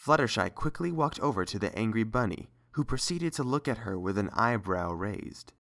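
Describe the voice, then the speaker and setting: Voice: medium pitch, lively intonation, normal speed, vocal fry, a bit bright. A narrator reading scripted story prose.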